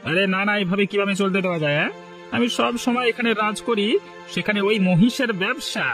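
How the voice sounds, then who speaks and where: A man's voice: sits high, fast, fairly bright tone, soft background music. A man playing a bossy, jealous village troublemaker in cartoon dialogue. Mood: scheming, bossy.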